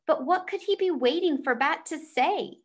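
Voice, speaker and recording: A woman's voice: sits high, lively intonation, moderate pace. A woman reading a children's novel aloud as a storyteller. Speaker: read-aloud reader.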